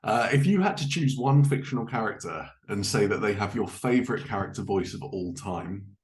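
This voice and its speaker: A man's voice: fairly low pitch, animated, moderate pace, a little mellow. A man hosting a casual podcast interview, agreeable and a little hesitant as he puts his questions. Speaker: podcast host interviewing a guest.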